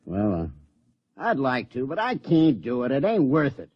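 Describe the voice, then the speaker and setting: A shiftless old hillbilly pa in a radio play. His pitch rides up and down in a crabby, folksy rural Southern American drawl. The vintage recording is a little dull. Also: huge pitch swings, normal speed, breathy edge. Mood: grudging. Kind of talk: radio drama acting.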